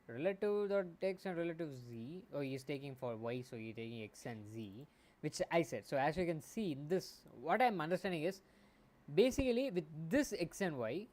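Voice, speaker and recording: A man streaming as a game developer, thinking aloud while he codes, often muttering and trailing off into soft asides, on a quiet home mic. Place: home room. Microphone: desktop mic. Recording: faint background noise, low home mic.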